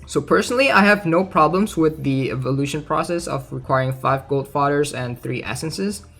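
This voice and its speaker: Male game streamer, voice a bit high, casual livestream commentary, hesitant think-aloud delivery, music underneath. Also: moderate pace, creaky, a bit bright.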